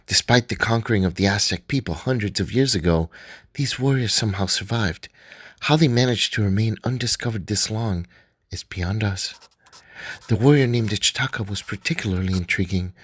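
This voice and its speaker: Man reading a weary expedition journal, measured and solemn, somewhat low voice, dry sound. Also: no echo, lightly acted. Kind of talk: radio drama journal narration.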